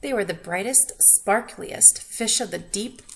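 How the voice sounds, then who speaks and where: Lady reading a kids storybook out loud, slow and bright, stretches words and asks the listeners stuff, bit of background noise. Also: mid-range voice, creaky. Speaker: children's story reader. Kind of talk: expressive picture-book read-aloud with asides to young listeners.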